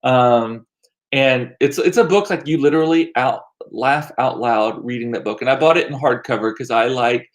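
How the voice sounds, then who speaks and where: A middle-aged American man chatting casually as a livestream host, rambling along with self-deprecating asides and animated, repeated words for emphasis. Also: medium pitch, normal speed. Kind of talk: casual chat to live viewers. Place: small home room used as studio.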